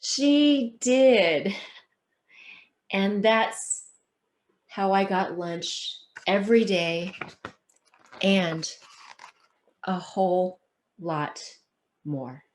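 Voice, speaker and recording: A woman author who reads aloud and talks in an expressive, deliberate storytelling manner. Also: mid-range voice, a little hiss or hum. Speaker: children's book author. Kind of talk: picture-book read-aloud and conversation.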